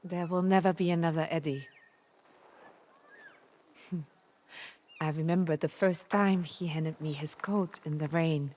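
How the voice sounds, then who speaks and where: A woman playing a grieving, fondly exasperated townswoman in a video game, with a deliberate, emotive delivery. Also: deep voice, fairly even intonation, a little mellow, slight background noise. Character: grieving woman, fondly exasperated about her lost man. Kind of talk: video game character acting.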